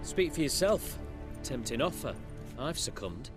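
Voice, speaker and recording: An actor playing an opportunistic safecracker in a video game, measured and savouring his words. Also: mid-range voice, very animated. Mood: sly. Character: smooth, opportunistic safecracker in a heist crew. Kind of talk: video game cutscene acting. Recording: faint music behind the voice.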